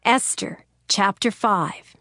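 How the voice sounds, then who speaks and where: A woman narrating scripture from a script in a measured, formal manner. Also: mid-range voice, sharp tone, dry recording, studio quality. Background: faint music.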